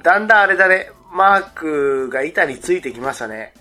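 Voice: mid-range voice, expressive, fairly quick, pushed and bright, soft background music. A podcast co-host reacting in casual, teasing banter.

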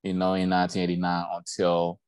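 A man's voice: low voice, monotone, brisk, darkish tone, smooth, no room sound, clean studio sound. A man in his forties from Liberia, reflecting on his life as a guest in a casual interview, with lots of "like" and "you know".

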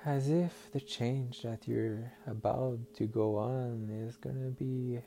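A man guiding a listener into hypnotic trance in a slow, soothing, suggestive way, over faint music. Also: mid-range voice, fairly even intonation, creaky, dark tone, slight background noise. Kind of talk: guided hypnosis session.

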